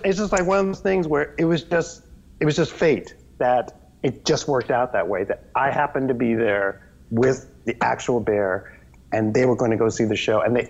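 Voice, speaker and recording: Animated, rambling man telling stories. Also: medium pitch, vocal fry, muffled recording. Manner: animated, rambling, with many restarts. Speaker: guest, children's TV performer. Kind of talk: casual interview storytelling.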